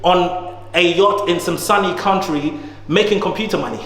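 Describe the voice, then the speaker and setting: A man's voice: high voice, moderate pace, a bit bright. A man in his thirties, a guest speaking in a casual conversation with an emphatic, slangy, motivational way of talking. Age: 30s. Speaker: guest, entrepreneur. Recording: echoey room with long reverb.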